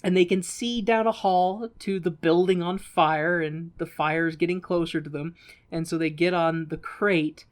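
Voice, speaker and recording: A woman's voice: fairly low pitch, fairly even intonation, moderate pace. A woman co-hosting a podcast, recapping a film in a casual, comedic way with playful, wry asides. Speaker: podcast co-host. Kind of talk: casual comedic movie recap, partly reading from notes.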